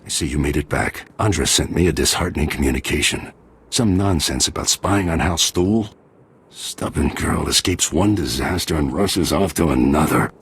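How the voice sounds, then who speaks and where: An actor playing a stern, worried older nobleman and father in a video game, with a very deep, gravelly, husky voice. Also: normal speed, a bit bright, exaggerated voice. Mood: disheartened. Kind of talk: dramatic video game acting.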